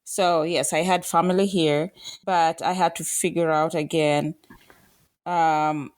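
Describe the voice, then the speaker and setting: A woman in her forties from Kenya, a guest on a talk show, speaking reflectively with pauses and restarts. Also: sits low, steady tone, no room sound. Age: 40s. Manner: reflective, halting with fillers and restarts. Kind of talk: casual interview talk.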